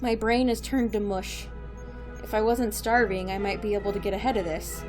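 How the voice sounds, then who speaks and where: A woman's voice: medium pitch, fairly even intonation, normal speed, over music, close to an everyday voice. A woman playing an exasperated, sardonic time traveler with a grumbling, dry delivery in an audio drama. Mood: exasperated, weary.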